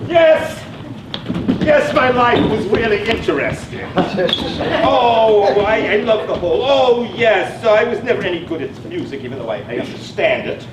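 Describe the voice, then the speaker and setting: Man acting an excitable, high-voiced know-it-all chatterbox. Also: unhurried, noisy background. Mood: excitable, chatty. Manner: pedantic, excitable, rambling, repeats himself. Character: chatty, eager know-it-all male psychiatric patient named Ethan. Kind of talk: stage play acting. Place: theatre stage, recorded from a distance. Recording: echoey stage recording, distant mic, music.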